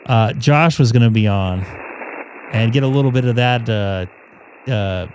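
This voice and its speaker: A man hosting a ham radio live stream, chatting loosely with lots of fillers as his voice begins to wear and go hoarse. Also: mid-range voice, unhurried. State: voice getting worn, starting to go hoarse. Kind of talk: casual chat to a live stream audience. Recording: noisy background hum.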